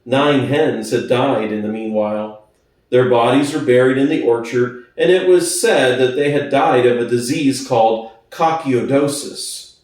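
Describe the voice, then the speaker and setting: A man's voice: mid-range voice, measured pace, a little mellow. Man narrating dramatically, very echoey room. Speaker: audiobook narrator. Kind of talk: dramatic scripted narration of a classic novel. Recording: very echoey room, distant from the mic.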